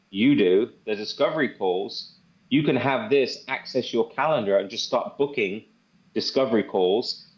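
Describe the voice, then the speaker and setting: Man pitching software, dull lo-fi sound. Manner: conversational, repeats phrases for emphasis, acts out sample phone calls. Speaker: presenter demonstrating software to a group. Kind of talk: informal product demo and sales pitch. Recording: dull, band-limited sound.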